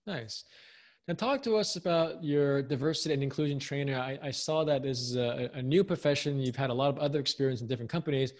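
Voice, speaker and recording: A man of middle pitch hosts a podcast interview. He talks in a relaxed, conversational way and moves quickly from comment to follow-up question. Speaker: podcast host.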